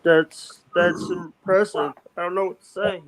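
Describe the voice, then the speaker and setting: High-voiced Southern man who stutters. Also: fairly even intonation, a little slow. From Mississippi. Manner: stutters, repeats words. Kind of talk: casual group video chat. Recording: loud background noise with clicks.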